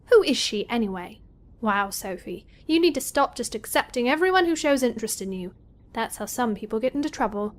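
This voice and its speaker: A woman's voice: fairly high pitch, animated, brisk, lightly acted. A woman playing a sardonic, self-critical seventeen-year-old college girl in an audio drama, with a wry, grumbling inner monologue. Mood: indignant.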